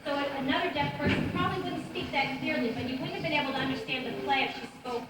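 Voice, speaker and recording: A woman's voice: high voice, quick pace. A woman puppeteer patiently answering children's questions and explaining things to a school audience. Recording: echoey room from far off, noisy.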